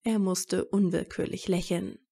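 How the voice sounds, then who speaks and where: A woman's voice: fairly low pitch, steady tone, unhurried, dark tone, husky. A woman narrating a scripted story.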